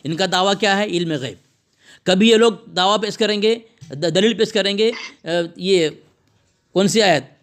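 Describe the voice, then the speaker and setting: A man's voice: high voice, unhurried, fairly bright tone. A man arguing religion on a phone call in a combative, abusive, lecturing way, repeating his phrases for emphasis. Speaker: religious debater. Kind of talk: polemical religious argument over a phone call.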